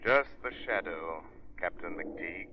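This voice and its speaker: Sardonic masked avenger, low measured voice, commanding, vintage radio play, noisy recording. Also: male voice, expressive, narrow, tinny audio. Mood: calm, enigmatic. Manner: measured, pronouncing verdicts. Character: mysterious male vigilante, calm, commanding, sardonic. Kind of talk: 1930s radio drama acting.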